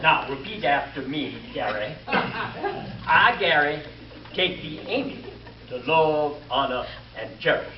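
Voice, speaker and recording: A man's voice: fairly high pitch, big pitch swings, slow pace, background noise. A man playing a fussy, flustered justice of the peace in an old-time radio comedy. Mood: fussy, correcting. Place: live stage show before an audience. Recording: echoey, muffled, distant live stage with audience.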